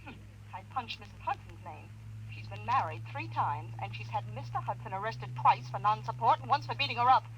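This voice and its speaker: An actress playing an indignant, nagging wife, rattling off sharp complaints in 1950s radio drama style. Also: female voice, fairly high pitch, lively intonation, a little fast, lo-fi sound. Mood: indignant, scolding. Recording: very noisy, humming old radio recording.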